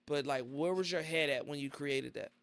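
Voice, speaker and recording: A male host leads a casual podcast interview in a gravelly, fairly high voice. He rambles and keeps repeating filler phrases. The sound is dry and close. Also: moderate pace, a bit bright, dry recording. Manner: rambling, repeats "you know what I'm saying".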